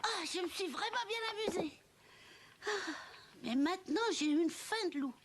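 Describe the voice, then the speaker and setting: A woman's voice: very high voice, animated, moderate pace. A woman voicing a mischievous, naive little wooden puppet boy in a children's cartoon, cheeky and childlike. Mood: cheerful. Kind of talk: dubbed children's cartoon acting.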